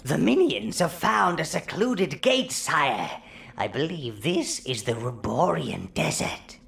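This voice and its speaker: An actor playing a sly, darkly gleeful old minion advisor in a game cutscene, with a gravelly, creaky voice and a wheedling manner. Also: male voice, on the high side, very animated, takes it slowly, heavily acted. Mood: scheming. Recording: voice over loud game music.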